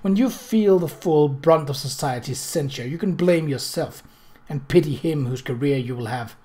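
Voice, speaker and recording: A man's voice: on the high side, normal speed, a little mellow, close to an everyday voice. A man voicing a proud, enraged woman in a storybook reading, bitter and indignant as she exclaims. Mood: maddened anger.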